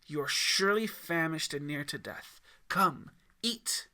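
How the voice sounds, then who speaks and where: A man's voice: on the high side, a little slow, pushed and bright, full character voice. A man voicing Lucifer as a sly, hateful, condescending villain in an audiobook story. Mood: taunting. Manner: menacing, scheming, condescending. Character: sly, hateful dragon Lucifer in human form, taunting villain.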